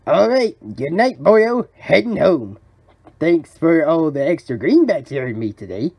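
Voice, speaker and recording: A man's voice: a little high, big pitch swings, a little slow, creaky, darkish tone, breathy edge, no room sound, heavily acted. A man voicing a greedy old crab boss in a cartoon, crafty and bossy, with salty sailor talk. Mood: pleased, greedy. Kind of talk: cartoon fan voice acting.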